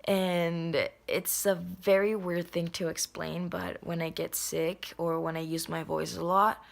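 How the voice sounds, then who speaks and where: A young adult nonbinary vlogger talks casually to the camera at home. The voice is a little hoarse and raspy, and the talk is earnest, full of ums and likes. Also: mid-range voice, flat delivery, a little slow. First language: Spanish. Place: indoors at home.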